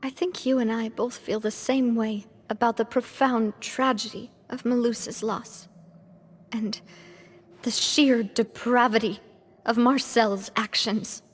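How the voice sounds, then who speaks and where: An actress playing a gracious, earnest young gang leader in a video game, speaking politely and with care. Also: female voice, on the high side, fairly even intonation, unhurried. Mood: somber. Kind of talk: video game dialogue acting.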